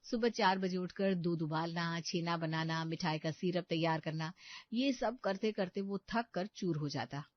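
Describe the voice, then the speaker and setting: Low-pitched woman narrating a story, dull sound. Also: unhurried.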